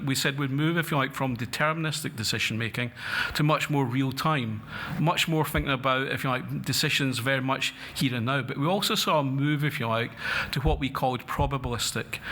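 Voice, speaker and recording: A man's voice: mid-range voice, bright, forceful tone. A middle-aged man with a Scottish accent giving an earnest conference talk from a lectern, with frequent fillers and restarts. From Scotland. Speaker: conference speaker. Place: conference hall. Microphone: lectern mic.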